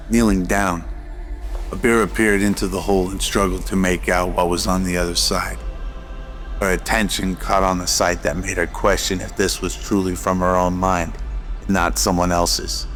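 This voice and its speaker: A man reading scripted narration for an audio drama in a steady voice. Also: deep voice.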